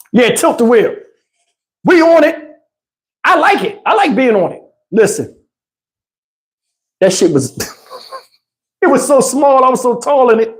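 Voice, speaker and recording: Male storyteller, middle-aged, loose and slangy vlog delivery, emphatic and unfiltered. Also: very high-pitched. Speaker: storytelling YouTube host. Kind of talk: casual animated storytelling.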